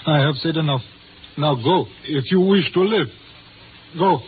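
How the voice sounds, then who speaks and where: A man's voice: on the high side, measured pace, close to an everyday voice. An actor playing a stern, fearful Chinese restaurant-owning father, speaking formally and sententiously in a 1950s radio drama. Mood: guarded warning. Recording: noisy, tinny 1950s radio recording.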